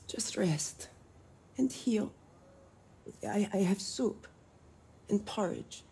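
An actress playing a gentle, protective mother in a video game, speaking softly and tenderly. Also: medium pitch, animated, extremely slow, husky, heavily acted. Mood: tender, soothing. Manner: soft, tender, hushed.

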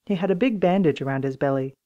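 A woman's voice: sits low, fast talker, mellow, muffled recording. A woman reading scripted children's story narration as an audiobook reader.

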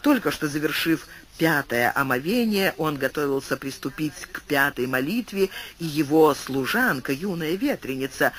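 A man reading a classic tale aloud as an audiobook narrator, in expressive scripted storytelling. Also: on the high side.

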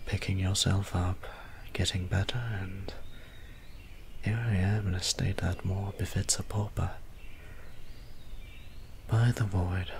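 A man playing a gentle, doting young vampire priest in an ASMR roleplay, speaking softly and half-whispering in polite, old-fashioned phrases. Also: sits low. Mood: sheepish, weary. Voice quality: soft, breathy, half-whispered. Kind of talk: soft-spoken ASMR roleplay. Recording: steady background ambience noise.

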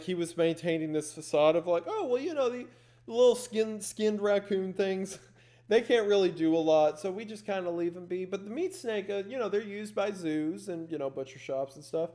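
A man's voice: sits high, animated, moderate pace, warm tone. A man giving casual, rambling live reaction commentary in a jokey, uneasy way. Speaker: streamer reacting to horror videos.

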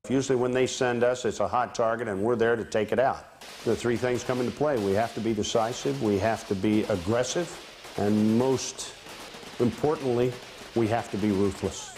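A man's voice: mid-range voice, normal speed, darkish tone. A man speaking bluntly and emphatically in an informal interview as a special forces member. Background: music.

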